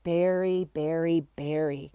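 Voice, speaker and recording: A woman's voice: low voice, fairly even intonation, takes it slowly, warm tone, smooth, dry recording, clean studio sound, pitched below the performer's own voice. A woman voicing a confident, bossy, impatient little schoolgirl storyteller in a children's book. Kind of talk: children's storybook character reading.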